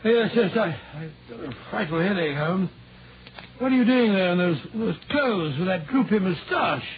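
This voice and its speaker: Befuddled kindly English doctor, high-pitched, blustering, stammering, repetitive, vintage radio drama, phone-thin sound. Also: male voice, animated, normal speed. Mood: blustering concern. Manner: blustering, stammering, repeats himself. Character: Doctor Watson, bluff, kindly, befuddled older English gentleman. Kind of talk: 1940s radio acting. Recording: tinny, faintly noisy 1940s radio recording.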